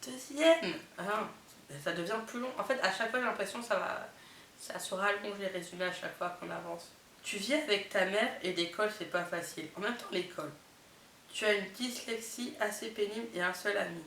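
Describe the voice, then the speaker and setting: A woman's voice: mid-range voice, brisk, a bit bright. A young woman talks casually with a head cold that makes her voice nasal, filling her chat with lots of fillers and amused asides. She sits some way from the camera in an echoey room. Faint hiss runs under the recording. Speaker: vlogger. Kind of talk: casual chatty vlog, reading out summaries with reactions. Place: indoors, not her bedroom. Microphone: camera mic. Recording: roomy, distant camera mic with faint hiss.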